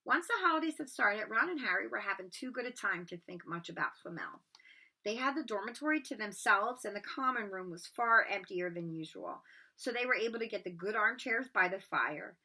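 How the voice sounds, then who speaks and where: Woman doing an expressive kids book read-aloud, really bright clear-cut voice. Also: medium pitch, brisk, low-quality recording.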